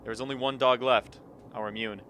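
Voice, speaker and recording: A man's voice: medium pitch, fairly even intonation, brisk, fairly bright tone, lightly acted. An actor playing a grim, suspicious man at an isolated polar camp in a radio play, terse and wary. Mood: grimly amused. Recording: faint background noise.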